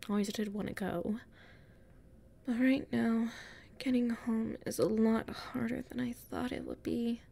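A weary, anxious heroine of an audio roleplay, acted by a woman, mutters her way through a castle. Her voice is high, soft and breathy. She speaks slowly and haltingly, as if talking to herself. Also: very animated, full character voice. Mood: weary, musing. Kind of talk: audio roleplay acting, solo monologue.

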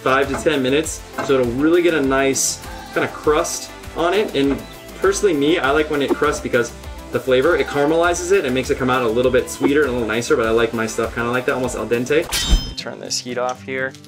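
Deadpan man hosting a cooking demo. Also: medium pitch, a little fast. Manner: earnest instructional patter with deadpan jokes. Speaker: cooking show host. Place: home kitchen. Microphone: lapel mic. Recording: music playing underneath.

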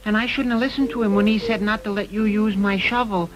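A man's voice: high voice, fairly even intonation, fast talker, dull audio, lightly acted. A man voicing a wavering, easily led little boy puppet in a children's educational show, childlike and unsure. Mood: contrite. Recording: old 1960s film soundtrack with music.

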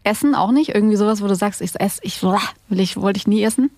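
Fast-talking woman interviewing casually. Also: medium pitch, darkish tone, dry recording. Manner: informal, colloquial. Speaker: podcast host, interviewer.

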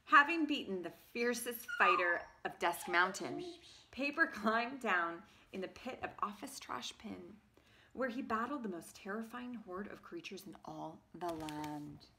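Bright-voiced woman reading a storybook, unhurried. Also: medium pitch, expressive, slight background noise. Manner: theatrical, emphatic. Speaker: parent reading a picture book aloud. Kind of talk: expressive storybook narration. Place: carpeted home room with sloped ceiling. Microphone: phone at arm's length.

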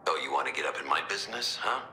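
A hostile, tough army officer in a video game. His voice is rock-bottom deep and gravelly, with a forceful edge. He speaks in menacing, scornful bursts in a roomy space. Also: animated, racing, a little hiss or hum, exaggerated voice. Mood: irritated. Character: gruff, tough, hostile male military officer. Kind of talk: dramatic video game voice acting.

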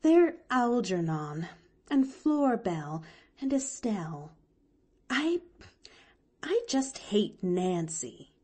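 A woman's voice: medium pitch, sing-song, slow pace, long pauses, a little mellow. A woman voicing a plain-spoken, good-hearted but grumbling young servant with blunt rustic phrasing in an audiobook. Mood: wistful, grumbling. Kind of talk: expressive audiobook character reading.

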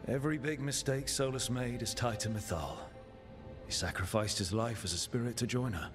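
A man's voice: mid-range voice, normal speed, over music, lightly acted. A man playing an earnest, plain-spoken adventurer in a fantasy video game. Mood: grave. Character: earnest, plain-spoken adventurer hero, fair-minded male.

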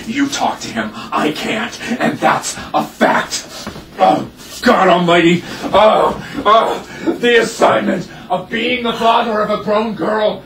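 In an echoing room away from the mic, a man plays a father at the end of his rope in a stage farce. His voice is high and gravelly, and he drags out his blustering, melodramatic complaints slowly. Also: a bit bright. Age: middle-aged or older character. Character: exasperated, blustering father of a grown daughter. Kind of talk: farcical stage comedy acting. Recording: roomy, distant mic, faint noise.

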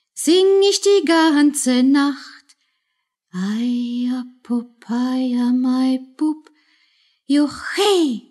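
A woman's voice: sits high, darkish tone. A woman playing a worried young mother, a soldier's sweetheart, in a radio drama.

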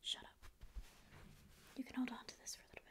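A woman's voice: quick pace, a bit bright, lightly acted. Woman whispering as sarcastic sleep paralysis demon. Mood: grumpy whisper. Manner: whispered, mock-rude, sarcastic. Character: grouchy ancient demon, secretly caring. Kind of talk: ASMR roleplay. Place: small dim room indoors. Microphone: close ASMR microphone.

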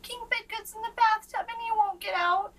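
A woman's voice: extremely high pitch, fairly even intonation, moderate pace, fairly bright tone, distant mic, pitched above the performer's own voice. A woman voicing a frantic young page boy in a storybook, wailing theatrically for help. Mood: frantic, pleading. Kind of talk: storybook read-aloud.

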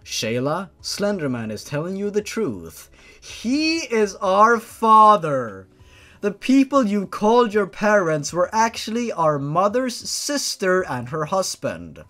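A man's voice: high voice, sing-song, slow, bright, forceful tone, pitched above the performer's own voice, exaggerated voice. A man voicing a commanding sister in a story reading, firm and emphatic. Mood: insistent.

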